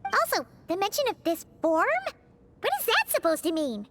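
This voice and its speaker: A woman voicing a small, excitable childlike fairy companion in a video game, with squeaky, exclamatory speech. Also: normal speed, full character voice. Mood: curious, puzzled. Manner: exclamatory, talks of herself in third person.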